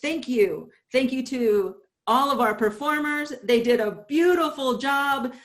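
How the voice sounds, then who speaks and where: A woman's voice: high voice, a little slow. A woman acting as MC, introducing performers with an enthusiastic, showy delivery. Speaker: MC of a stage event. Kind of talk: introducing performers at a live event.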